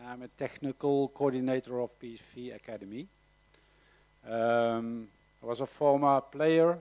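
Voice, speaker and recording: Slow, soft-spoken man in his fifties. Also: medium pitch, fairly even intonation, smooth. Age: 50s. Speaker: academy technical coordinator. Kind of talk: press conference self-introduction. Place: press conference room.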